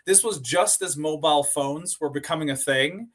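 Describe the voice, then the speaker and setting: A man from Sweden, a company co-founder, telling stories as a podcast guest in a relaxed, rambling way, with many fillers and restarted words. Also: fairly high pitch, normal speed. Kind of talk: casual interview storytelling.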